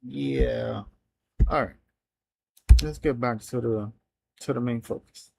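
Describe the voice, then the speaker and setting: A man whose first language is Spanish talks through an app plan on a live coding stream. His delivery is halting, full of restarts and unfinished phrases. He sits at a mid pitch for a man, and the close, dry sound has almost no room in it. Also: vocal fry, darkish tone, husky, dry recording. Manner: halting, reads plans aloud with many restarts.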